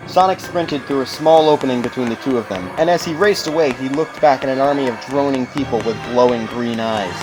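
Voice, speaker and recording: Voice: medium pitch, a little fast, hardly any pauses, rough recording. Storybook narrator over prominent music. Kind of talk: scripted audiobook narration.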